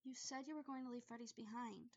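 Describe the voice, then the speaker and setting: A sleepy, affectionate young girlfriend in an audio drama, acted by a woman, with a worried edge. Her voice is high and breathy, on slightly dull audio. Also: fairly even intonation, fast. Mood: sleepy, tender.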